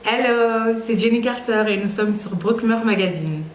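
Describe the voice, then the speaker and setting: A woman's voice: on the high side, normal speed, over loud music. Young woman, casual, echoey room. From Belgium, Brussels. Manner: relaxed, slangy, with frequent hesitations. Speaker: singer interviewed about her music. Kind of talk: casual interview answers.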